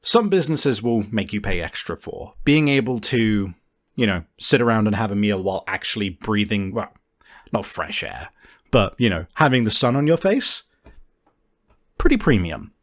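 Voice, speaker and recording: A man narrating a tabletop game as game master, conversational and halting, with deliberate pauses. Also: fairly low pitch, big pitch swings. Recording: music playing behind. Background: background music.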